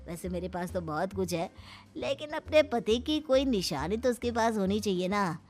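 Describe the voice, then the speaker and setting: A woman's voice: mid-range voice, animated, a little slow, a little mellow. A woman voicing a shrewd, protective older father in a cartoon story, taunting and threatening in manner. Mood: smug, threatening. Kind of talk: cartoon story character acting.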